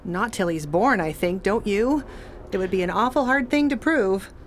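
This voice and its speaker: An actress playing a young expectant wife, earnest and fretful with a whimsical streak, in a radio play. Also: female voice, mid-range voice, expressive, moderate pace, lightly acted. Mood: matter-of-fact. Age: young adult. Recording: faint background noise.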